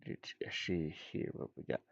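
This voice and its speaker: A man's voice: normal speed, no room sound, dull audio. A man thinking aloud in casual, rambling chat as he works on game art, muttering and making playful asides. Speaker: indie game designer streaming.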